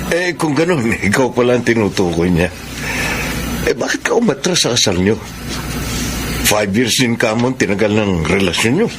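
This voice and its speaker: An actor playing a devoted, sincere Filipino boyfriend in a radio drama, with an emphatic, heartfelt delivery. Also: male voice, lowish voice, lively intonation, moderate pace, pauses often, music in the background, natural voice. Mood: probing. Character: Sandro, devoted earnest boyfriend, romantic and sincere.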